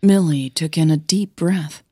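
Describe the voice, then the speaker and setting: Female narrator for audio fiction. Low, husky voice, measured and dramatic delivery. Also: warm tone, no room sound, studio quality.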